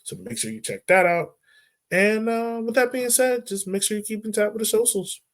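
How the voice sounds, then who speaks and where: A man's voice: high-pitched, moderate pace. A man hosting a casual soccer podcast, talking loosely with plenty of fillers. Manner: relaxed, loose, lots of fillers like "um" and "like". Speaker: podcast host.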